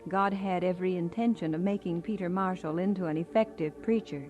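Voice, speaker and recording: A woman giving measured, scripted narration as the storyteller of a radio drama. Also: fairly low pitch, darkish tone. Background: music.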